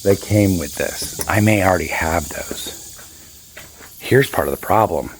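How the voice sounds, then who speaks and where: A man in his fifties with a raspy, hoarse voice, chatting casually and rambling through a boat repair vlog. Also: sits low, measured pace, a little hiss or hum. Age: 50s. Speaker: boat owner vlogger.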